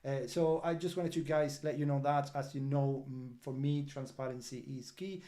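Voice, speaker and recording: A man who is not a native English speaker hosts a crypto project livestream update, answering viewer comments in an earnest, halting way with many fillers and restarts. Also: mid-range voice, fairly even intonation, dark tone. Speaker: crypto project team member hosting an update.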